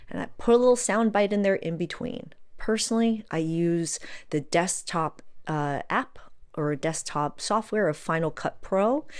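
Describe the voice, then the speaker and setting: A woman's voice: medium pitch, a little mellow. A woman podcast host talking casually on her own, giving advice conversationally, repeating words for emphasis and asking rhetorical questions.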